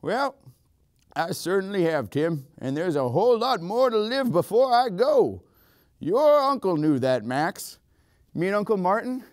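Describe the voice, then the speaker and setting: A man's voice: high-pitched, sing-song, unhurried, a little mellow, dry recording, clean studio sound, voice pushed up. A man voicing a boastful elderly former cowboy who wrangled spiders and rambles in a folksy way. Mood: fond. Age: older.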